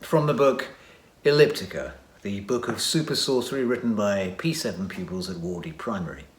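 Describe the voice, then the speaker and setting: A man narrating and introducing a children's story in a measured, announcing way. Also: fairly low pitch. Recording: roomy, echoey sound.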